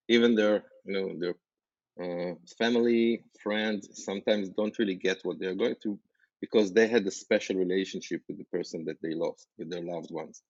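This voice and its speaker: A man with an Israeli accent, a startup founder talking as a guest on a podcast, earnest and halting, with many fillers and restarts. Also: sits low, darkish tone, dry recording, muffled recording. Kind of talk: podcast interview.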